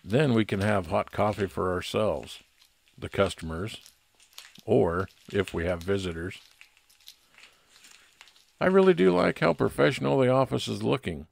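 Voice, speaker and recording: A man's voice: lowish voice, expressive, a little slow, gravelly, pauses often. A man narrating a scripted first-person private investigator story in old-time radio detective style.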